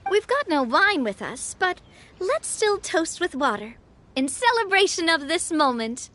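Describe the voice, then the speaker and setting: An actress playing a confident, warm-hearted young female gang leader who talks in an upbeat, gracious way. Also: very high-pitched, big pitch swings, measured pace, slight background noise, heavily acted. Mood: fond. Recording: game audio with faint music.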